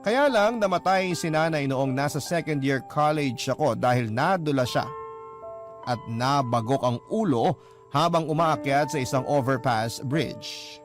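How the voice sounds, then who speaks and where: A man reads a listener's heartfelt letter aloud in radio-drama style, his voice mid-pitched. The reading is earnest and solemn. Guitar music plays clearly underneath. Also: measured pace.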